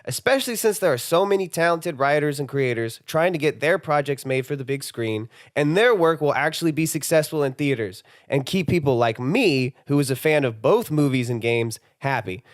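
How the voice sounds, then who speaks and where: A man's voice: fairly high pitch, lively intonation, moderate pace, few pauses, no echo, clean studio sound. Sarcastic young man hosting a movie commentary. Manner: sarcastic, emphatic listing. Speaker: video commentary host. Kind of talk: scripted video essay commentary.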